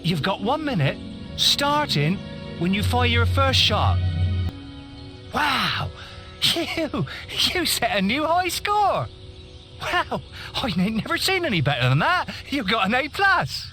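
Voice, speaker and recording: An actor playing an excitable, easily amazed archery instructor in a video game, folksy and exclamatory. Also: male voice, very high-pitched, takes it slowly, faint music behind, heavily acted. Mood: excited, amazed. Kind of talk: animated video game acting.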